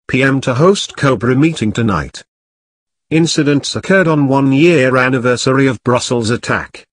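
A man reads news headlines in a steady, even voice-over. His pitch sits in the middle range, and the sound is dry and close with no room around it. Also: no room sound. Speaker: news reader. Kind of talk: scripted news narration.

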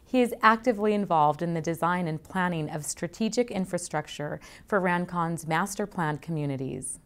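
A woman in her thirties hosts a business interview, her voice sitting a little low as she asks polite, brisk questions. She is recorded indoors in an office on a clip-on mic. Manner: polite, scripted intro then brisk questions. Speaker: host. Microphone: lapel mic.

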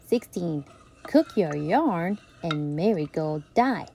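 A woman reading a children's picture book aloud as a storytime host, playful and deliberate, with dramatic emphasis. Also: on the low side, lively intonation, gravelly, dark tone, a little hiss or hum. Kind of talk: expressive children's read-aloud narration.

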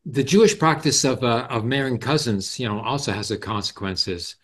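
An older man chatting in a group discussion on a video call, haltingly, often adding "you know". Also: mid-range voice. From Canada. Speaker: forum participant and moderator. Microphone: headset on video call.

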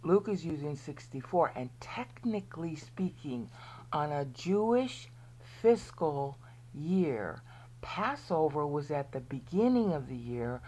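A woman with an American accent and a low, deep voice walks through scripture in a rambling, explanatory way. She often checks in with her listeners and now and then drops almost to a whisper. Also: sing-song, slow, warm tone, slightly rough, rough recording. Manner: drops to a near whisper at times. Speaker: Bible teacher. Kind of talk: screen-share Bible lesson.

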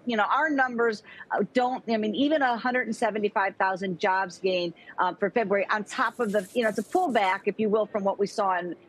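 A middle-aged woman economist speaking as a guest in a live TV interview over a remote video link, talking quickly with frequent restarts and repeated words. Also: mid-range voice, steady tone, slightly rough, poor audio. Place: home room with plant.

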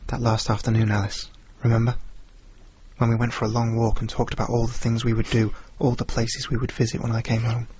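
An actor playing the pleading ghost of a young soldier in a radio drama, intimate and breathy, with an English accent. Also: male voice, mid-range voice, monotone, a little fast, a little mellow, dull audio, slight background noise, natural voice. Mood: wistful.

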